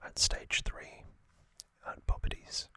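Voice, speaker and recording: A man hosts an ASMR video in a breathy whisper that stays slow and unhurried. His voice sounds very bright and airy, with wide swings in pitch. He drifts through hesitant fillers as he goes. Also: no room sound. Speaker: ASMR host.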